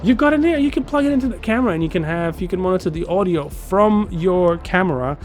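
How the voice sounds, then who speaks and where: Higher-voiced man reviewing gear, halting delivery. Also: expressive, soft background music. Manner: halting, repeats words and phrases. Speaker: product reviewer. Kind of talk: casual unscripted gear review to camera.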